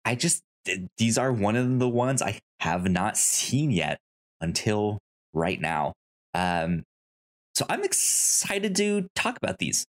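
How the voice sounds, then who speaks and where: A podcast co-host chatting casually about reviews, often repeating and restarting words in a halting way. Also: mid-range voice, big pitch swings.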